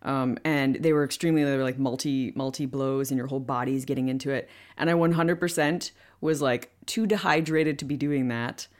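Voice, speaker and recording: A woman voice actor telling a story in an interview, animated and loose, with plenty of "like" and "um" fillers. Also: rumbling low, fairly quick, few pauses. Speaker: voice actor guest. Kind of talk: anecdotal interview chat.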